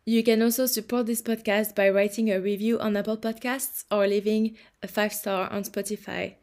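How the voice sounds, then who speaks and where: Woman podcast host, mid pitch, reads intro then asks polite questions. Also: little pitch movement, normal speed. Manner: polite, warm questions with soft laughs. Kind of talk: scripted intro and interview questions.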